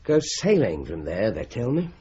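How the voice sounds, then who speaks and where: An actor playing a steady, methodical police inspector, measured and confident, in a muffled old radio play. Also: male voice, lowish voice, slight background noise. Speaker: detective inspector. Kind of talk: 1950s-style radio drama acting. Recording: dull, muffled vintage radio recording.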